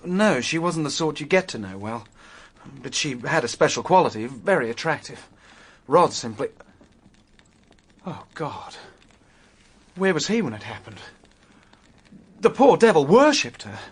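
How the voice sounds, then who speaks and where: An actor playing an anxious, earnest young man caught up in a murder in a radio drama, with an agitated, halting delivery. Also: a little high, very animated, husky, exaggerated voice. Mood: shocked, grieving. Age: young adult.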